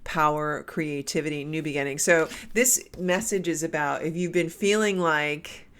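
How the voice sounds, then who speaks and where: A woman's voice: deep voice, steady tone, normal speed, fairly bright tone, clear, resonant tone. A woman doing a casual spiritual card reading, her nose stuffed up from a cold, talking in a meandering way with many restarts. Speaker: tarot card reader.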